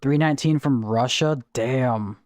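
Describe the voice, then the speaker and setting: A man's voice: mid-range voice, unhurried, a little mellow. Chatty young man streaming a game. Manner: chatty, greets viewers and explains game items. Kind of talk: casual livestream chat while gaming.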